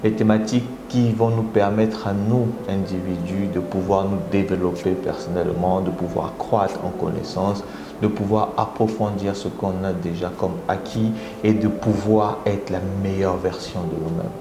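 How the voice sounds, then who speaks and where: A Congolese man with a Congolese French accent hosting a live motivational talk, coaxing his viewers and often jumping into high exclamations. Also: moderate pace. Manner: animated, coaxing audience, rising into high exclamations. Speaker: motivational speaker and live host. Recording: echoey room with audible background noise.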